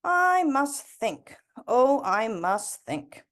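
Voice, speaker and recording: A woman voicing a kindly, fretful old knitter from a storybook, with drawn-out exclamations as if talking to herself. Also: high-pitched, big pitch swings, a bit bright, exaggerated voice. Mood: worried.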